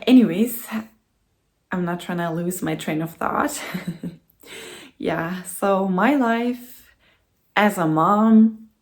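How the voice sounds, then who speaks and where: A young German woman, a native German speaker, chatting in English in a rambling vlog from home, recorded on a phone and laughing often between phrases. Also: fairly low pitch. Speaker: vlogger. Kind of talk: casual vlog chat. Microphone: phone camera.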